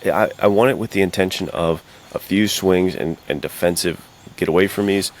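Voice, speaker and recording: A man's voice: deep voice, moderate pace, a little hiss or hum. A young man co-hosting a podcast, chatting in a slangy, informal, loosely rambling way. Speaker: podcast co-host. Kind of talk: casual chat.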